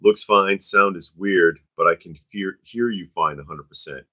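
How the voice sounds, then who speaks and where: A hoarse man co-hosting a casual livestream, making wry joking asides, recorded on a camera-mounted mic in a home toy museum room. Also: sits low, moderate pace, sharp tone.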